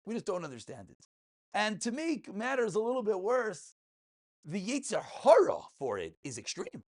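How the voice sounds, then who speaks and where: A man's voice: high-pitched, huge pitch swings, normal speed. A man, a rabbi teaching an informal religious class in an emphatic way, posing rhetorical questions and repeating phrases. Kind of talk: informal Talmud and ethics lecture.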